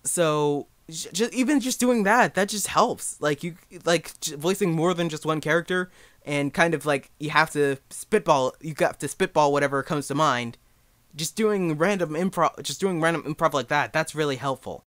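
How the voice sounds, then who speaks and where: A young man giving a casual, unscripted tutorial in a rambling, halting, self-deprecating way. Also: a little high, fairly bright tone, no room sound. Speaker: amateur voice actor giving advice.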